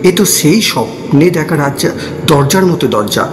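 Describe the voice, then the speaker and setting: A man's voice: on the high side, lively intonation, normal speed, reverberant, natural voice. A man voicing an anxious, scolding young elder sister in a ghost story, full of exclamations and questions. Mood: puzzled, uneasy.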